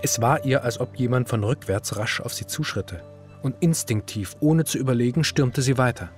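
An actor narrating a literary radio drama as a grave, measured male storyteller. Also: mid-range voice, a little mellow, soft background music, lightly acted. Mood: urgent. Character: male storyteller recounting a woman's fear, grave.

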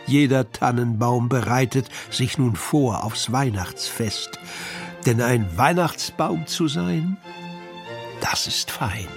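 A man reads verse aloud at a very unhurried pace, his voice sitting at a middle pitch. His delivery is measured and expressive, like a scripted recitation for children's radio. Soft music plays faintly underneath. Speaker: poetry reader.

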